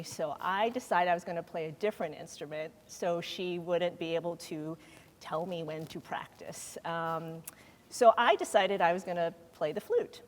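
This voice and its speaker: A woman from Taiwan speaking on a panel, often laughing and pausing with fillers. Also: on the low side. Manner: warm, giggly, halting with fillers. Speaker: music nonprofit chief executive. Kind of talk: panel conversation. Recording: long hall reverb.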